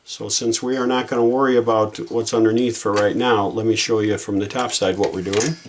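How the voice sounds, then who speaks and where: A man's voice: lowish voice, steady tone, brisk, a little hiss or hum. A man giving a casual hands-on repair demonstration, thinking aloud in an informal, folksy way as he works. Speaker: repair hobbyist hosting a how-to video.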